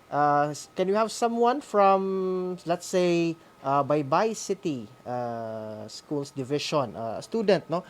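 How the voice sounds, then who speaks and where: A man's voice: on the high side, lively intonation, slow, few pauses. A man with a Filipino accent hosting and informally recapping a lesson for students, hesitant and often adding a questioning tag. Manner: hesitant, frequently tags phrases with "no?". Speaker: host.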